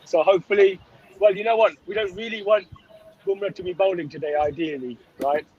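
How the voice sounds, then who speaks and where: A man with a slightly hoarse voice chatting casually as a sports fan guest on a call, often checking in with "right?". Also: high-pitched, a little fast, darkish tone, slight background noise. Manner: conversational, often tags phrases with "right?". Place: on a coach, remote call. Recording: dull lo-fi call audio.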